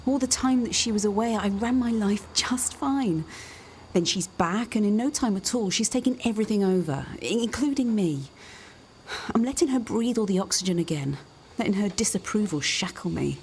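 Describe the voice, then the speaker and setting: A woman plays an anxious daughter in a radio soap, confiding in a mid-pitched voice. She sounds earnest and emotional, over clear ambient noise. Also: animated, normal speed, darkish tone, natural voice. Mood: troubled, confiding. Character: earnest, anxious daughter breaking free of her mother. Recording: noticeable background noise.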